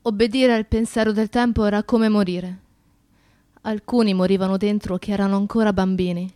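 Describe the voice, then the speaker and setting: A woman delivering a dramatic scripted monologue, solemn and emphatic, with deliberate repetition. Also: medium pitch, steady tone, dark tone, clear, resonant tone, no room sound, studio quality. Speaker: actress and narrator.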